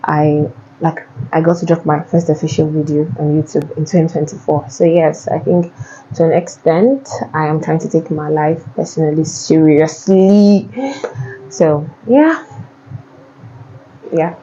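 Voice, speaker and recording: A woman's voice: low-pitched, steady tone, a little slow, warm tone, muffled recording, a little hiss or hum. A young Nigerian woman with a Nigerian accent, answering viewer questions in a casual, chatty way and often repeating herself. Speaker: vlogger.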